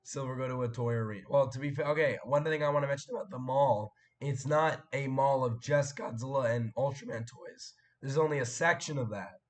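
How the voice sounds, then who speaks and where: Young man, hoarse, cracking voice, rambling stream chat, throat clearing. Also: medium pitch, fairly quick, hardly any pauses. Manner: rambling, chatty, frequent fillers and throat clearing. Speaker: live stream host. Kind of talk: casual live stream chat, reading viewer comments.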